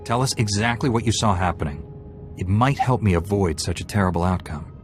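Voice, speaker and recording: Casting: male actor for hard-edged, self-assured Jedi warrior, game hero. Low voice, blunt and defiant. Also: animated, a little fast, faint music behind, natural voice. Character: confident, defiant young male Jedi warrior turning dark. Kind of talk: cinematic video game acting.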